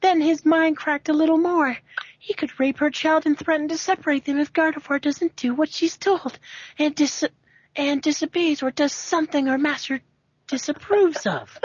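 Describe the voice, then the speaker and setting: A woman's voice: high-pitched, fairly even intonation, moderate pace, no echo. A woman doing an amateur read-aloud of a story, stumbling over words and sounding amused. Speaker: amateur fanfiction reader. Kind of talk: amateur dramatic reading with casual asides. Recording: dry, muffled home recording.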